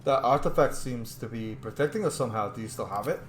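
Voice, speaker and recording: A man plays through a roleplaying game, commenting casually and voicing his choices aloud. His voice is mid-pitched. The room is quite echoey, with a faint noise behind him. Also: fast talker. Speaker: gamer doing a roleplay playthrough.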